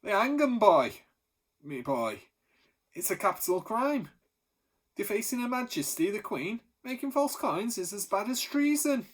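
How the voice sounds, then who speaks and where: A man voicing a middle-aged baker who boasts and shares secrets in a hushed storybook voice. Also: sits high, sing-song, unhurried, pitched above the performer's own voice, exaggerated voice. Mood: boastful. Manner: boastful, then hushed and confidential.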